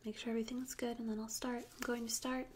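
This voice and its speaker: A woman speaking softly and whispering in a breathy, slow, gentle way for an ASMR roleplay, playing a tattoo artist, close on a binaural microphone. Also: fairly high pitch, flat delivery. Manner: slow, gentle, unhurried instructions. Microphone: close binaural stereo microphone. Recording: close binaural recording with audible noise.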